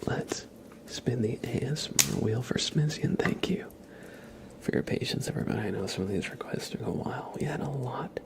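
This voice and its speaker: A man whispering softly and breathily as an ASMR livestream host, chatting informally and directly to his viewers. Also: mid-range voice, a little fast. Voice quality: soft, breathy whisper with some creak.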